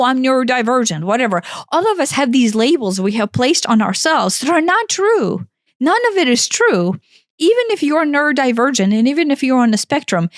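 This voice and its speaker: Emphatic Ukrainian-accented woman, high voice. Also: no room sound, clean studio sound. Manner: emphatic, blunt, swears freely. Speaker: podcast co-host. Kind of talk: impassioned self-help talk.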